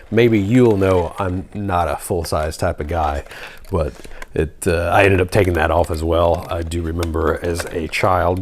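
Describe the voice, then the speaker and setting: A man presenting a hobby video in a casual, nostalgic show-and-tell style, rambling and thinking aloud as he goes. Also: low-pitched. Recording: clear background noise with clicks.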